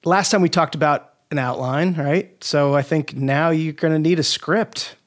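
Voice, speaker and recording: A man speaking as a guest scriptwriter, explaining casually in an informal, matter-of-fact way with gentle teasing. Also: on the high side, moderate pace.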